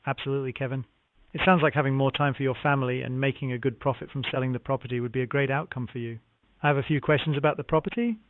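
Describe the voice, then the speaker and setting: A man handles a scripted property sales call at a brisk clip. He is courteous and formulaic, acknowledging before each question. The sound is a thin telephone line with very little room in it. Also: medium pitch, steady tone, no room sound. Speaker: real estate acquisitions phone agent. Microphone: phone call. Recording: narrow, tinny phone line.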